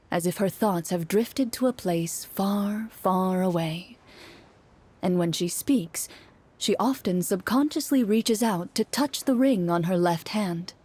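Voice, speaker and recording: An actress playing a composed game master who narrates a tabletop adventure in a measured storytelling way. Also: female voice, medium pitch, warm tone, slightly rough. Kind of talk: scripted narration.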